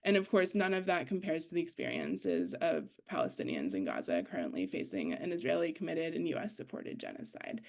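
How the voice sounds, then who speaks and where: A young woman speaking as a student activist at an online gathering, articulate and careful with her wording in a measured, informal presentation. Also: deep voice, huge pitch swings, gravelly. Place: online video call.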